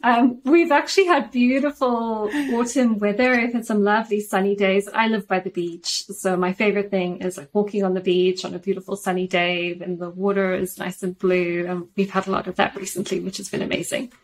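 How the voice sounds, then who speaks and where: Guest: South African woman, 30s, measured storyteller, mid-pitched, webcam at home. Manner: thoughtful, steady storytelling with frequent ums. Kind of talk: video call interview, personal story. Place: home room with shelves and plants, indoors. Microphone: video call webcam.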